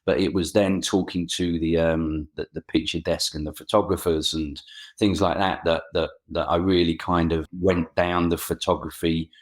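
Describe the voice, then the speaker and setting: A man's voice: low-pitched, level tone, normal speed. A middle-aged man with an Essex accent, chatting as an interview guest, hesitant and often repeating words as he restarts sentences. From England. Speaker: guest, freelance photographer. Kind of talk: casual interview chat. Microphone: remote interview recording.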